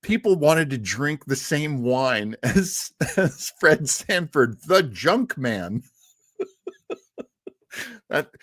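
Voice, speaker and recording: A man's voice: mid-range voice, animated, drawn-out words, pauses often, fairly bright tone, dry recording, studio quality. A man hosting a livestream, chatting casually and answering viewers, rambling with laughs and restarts. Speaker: livestream host. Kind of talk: casual chat with live chat viewers.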